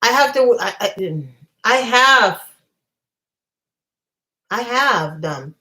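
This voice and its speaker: This is a woman with a somewhat high voice who hosts a casual livestream. She rambles through her thoughts. Her voice is going hoarse, and she keeps clearing her throat. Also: animated, drawn-out words, pushed and bright. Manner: chatty, reads viewer comments aloud. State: losing her voice. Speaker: livestream host.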